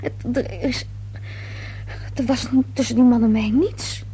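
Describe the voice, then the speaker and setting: An actress playing a nervous, evasive young woman being questioned, speaking haltingly in a breathy voice in a radio play. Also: on the high side, fairly even intonation, mellow, a little hiss or hum. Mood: defensive, flustered. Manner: hesitant, halting, repeating words.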